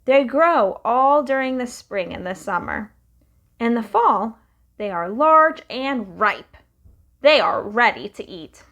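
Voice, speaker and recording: A young woman reading a picture book aloud to children in a slow, sing-song storytelling way. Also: fairly high pitch, animated, a bit bright, dull audio.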